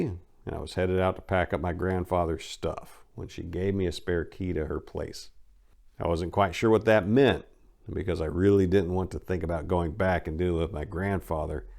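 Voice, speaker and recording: A man's voice: low-pitched, darkish tone. A man giving a dramatic first-person audiobook reading in a casual, conversational storytelling manner. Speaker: narrator reading a book.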